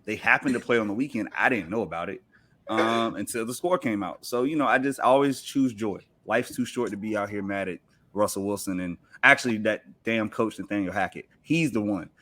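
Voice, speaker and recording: A man's voice: lowish voice, a little fast, pushed and bright. An American man from Virginia chatting casually as a podcast guest, slangy and joking. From Virginia, United States. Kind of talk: casual chat.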